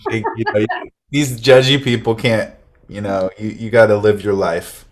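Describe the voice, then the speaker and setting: A man interviewing casually, halting and restarting often. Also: lowish voice, steady tone.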